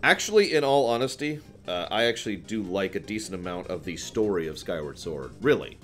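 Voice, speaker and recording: Man, low-pitched, very animated intonation, casual streamer talk, opinionated, emphatic, soft background music. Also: fairly quick. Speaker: live stream host. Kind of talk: casual livestream talk to chat, answering viewer questions.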